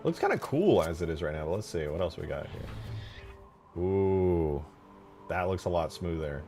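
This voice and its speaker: A man giving casual, slangy commentary as a game modder, muttering to himself as he thinks out loud while working. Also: low-pitched, animated, unhurried, faint music behind.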